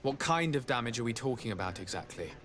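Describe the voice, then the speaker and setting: A man's voice: medium pitch, expressive, fast, a bit bright, faint music behind, lightly acted. A man playing a courteous, inquisitive young nobleman envoy in video game dialogue, polite, formal and composed. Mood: courteous, concerned.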